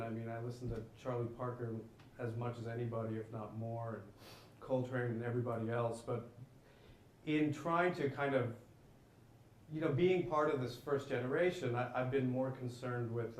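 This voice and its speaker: Reflective man in an echoey room. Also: mid-range voice. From Boulder, Colorado, United States. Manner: reflective, feels his way to words. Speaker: jazz saxophonist guest. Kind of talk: interview answers. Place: school event room. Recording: echoey room, faint background noise.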